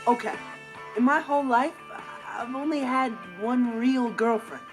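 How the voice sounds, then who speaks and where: A young American man playing an earnest, excitable, gullible teenage boy in a sitcom, telling stories to the audience in an animated, emphatic way. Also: very high-pitched, measured pace, over music. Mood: self-assured. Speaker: sitcom actor. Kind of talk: comedic monologue to the audience.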